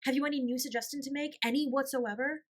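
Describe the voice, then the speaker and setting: Storybook sickly gentleman, read by a woman: worn out, anxious, miserable, prone to fretting. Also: sits high, steady tone, quick pace, voice pushed up, lightly acted. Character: weary, fretful invalid gentleman, miserable and anxious. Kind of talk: storybook character voice.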